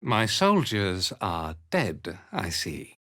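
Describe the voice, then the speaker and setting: An actor playing a cold, zealous cult commander in a fantasy video game, speaking in a measured, theatrical and disdainful way. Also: male voice, sits low, big pitch swings, sharp tone, no room sound, clean studio sound, full character voice. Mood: cold authority. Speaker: villainous military commander.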